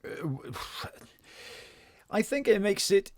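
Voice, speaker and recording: A man's voice: high-pitched, very animated, drawn-out words, no echo. A man, an actor and writer, chatting casually and self-deprecatingly into a microphone in a bedroom at home. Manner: self-deprecating, thinking aloud. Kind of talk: casual chat. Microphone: studio-style microphone, close.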